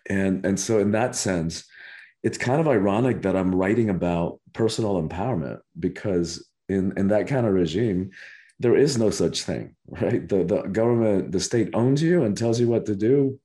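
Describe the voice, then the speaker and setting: A man's voice: fairly low pitch, normal speed, dark tone. A man born in Cuba, a spiritual teacher and author, answering interview questions earnestly and often restarting his phrases.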